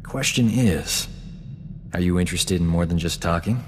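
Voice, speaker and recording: An actor playing a cocky, flirtatious, boastful rogue doctor in a sci-fi video game, glib and smooth-talking. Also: male voice, low-pitched, moderate pace, big-room sound, slight background noise, lightly acted. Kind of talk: video game dialogue acting.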